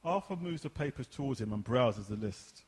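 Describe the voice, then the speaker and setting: A man's voice: medium pitch, lively intonation, moderate pace, darkish tone, slightly rough. A man reading stage directions as the narrator of a radio play.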